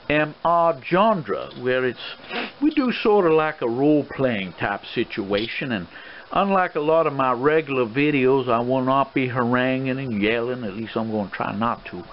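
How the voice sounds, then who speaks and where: A man's voice: medium pitch, close to an everyday voice. A man playing an elderly colonel and swashbuckling gambler in an ASMR role-play, courtly and boastful, with a folksy, rambling, hesitant delivery. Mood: folksy, explaining. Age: older. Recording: dull lo-fi sound with clear background noise.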